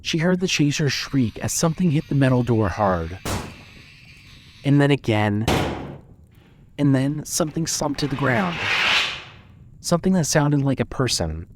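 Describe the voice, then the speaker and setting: A man's voice: medium pitch, expressive, unhurried, pauses often, noisy background. A man reading scripted material as the narrator and announcer of a fiction podcast.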